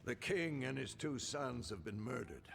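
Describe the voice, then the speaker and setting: An actor playing a cynical, persuasive American political schemer in a game cutscene, with an American accent and measured speechmaking. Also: male voice, medium pitch, lively intonation, creaky, fairly bright tone. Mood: calculating. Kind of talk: dramatic game cutscene acting.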